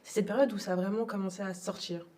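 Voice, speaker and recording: A woman's voice: mid-range voice, fairly even intonation, quick pace, warm tone, far from the mic. A young adult woman from Togo, telling her personal story as an interview guest in a reflective, hesitant way. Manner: reflective, repeats words, frequent hesitations.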